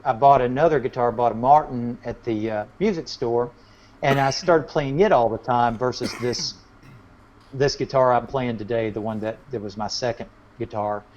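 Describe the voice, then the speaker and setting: A man's voice: medium pitch, normal speed, a little mellow, a little hiss or hum. A man chatting casually as a musician guest in an interview, telling anecdotes in a rambling way. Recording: dull, lo-fi audio.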